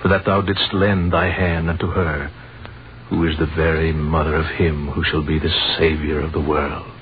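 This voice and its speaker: Deep-voiced solemn old wise man. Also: level tone, moderate pace. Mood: reverent, solemn. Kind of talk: 1930s radio acting, archaic biblical speech. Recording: noisy muffled 1930s radio recording.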